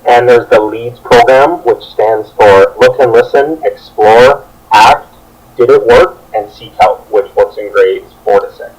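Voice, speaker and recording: A man's voice: medium pitch, measured pace, crowd noise, poor audio. A young adult man reading out a scripted presentation as a student teacher. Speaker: student teacher presenting a children's book project.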